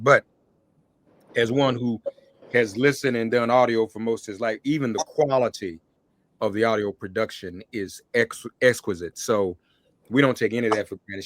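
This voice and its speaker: A man hosting a casual conversational interview, with informal slang and joking asides. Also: on the low side. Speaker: interview host.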